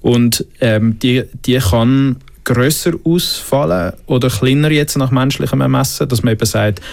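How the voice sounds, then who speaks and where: A man's voice: medium pitch, unhurried, vocal fry, a little mellow. A Swiss man with a Swiss German accent, thoughtfully discussing the Bible in a faith conversation, with many filler sounds and restarts. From Switzerland. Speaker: panel guest discussing the Bible.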